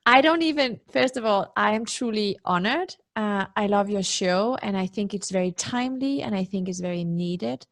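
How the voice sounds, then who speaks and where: A woman's voice: fairly high pitch, fairly bright tone, no echo, clean studio sound. A woman in her forties who grew up in Denmark, a guest speaker in a web interview, talking in an effusive, personal way with many "you know" fillers. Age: 40s. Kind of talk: candid interview talk. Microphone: video call.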